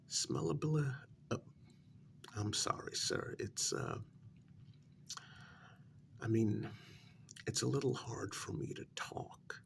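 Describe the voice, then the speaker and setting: A man playing an evasive, deferential worker in a soft ASMR role-play, speaking hesitantly and politely in a breathy half-whisper. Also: mid-range voice, slight background noise. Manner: hesitant, polite, gossipy while feigning reluctance. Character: evasive, deferential male worker smoothing things over with his boss.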